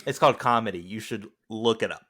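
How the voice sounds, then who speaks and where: A man in a casual film discussion, talking in a joking, animated way. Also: on the low side, brisk.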